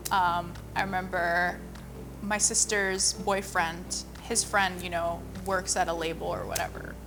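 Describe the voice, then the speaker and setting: A woman's voice: on the low side, level tone, unhurried. A young woman, a singer and model, talking casually about her music with lots of "like" fillers. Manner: chatty, frequent "like" fillers. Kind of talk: casual personal storytelling. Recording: music playing underneath.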